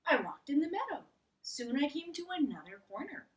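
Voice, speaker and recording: A woman voicing a kindly, earnest male frog telling his tale in an animated storybook read-aloud. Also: sits high, normal speed, recorded from a distance, pitched above the performer's own voice. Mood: earnest, eager.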